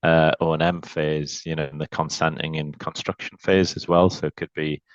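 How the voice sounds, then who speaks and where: A man's voice: a bass voice, little pitch movement, normal speed, no echo, muffled recording. A man on a video call giving a thoughtful answer as a panelist, hedging as he goes. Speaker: industry panelist.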